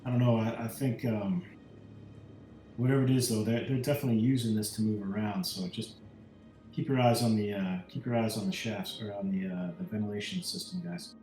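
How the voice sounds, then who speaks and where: A man's voice: lowish voice, brisk, dark tone. A man playing a tabletop roleplaying game, casually speaking as his character and thinking aloud hesitantly. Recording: roomy echo, music underneath.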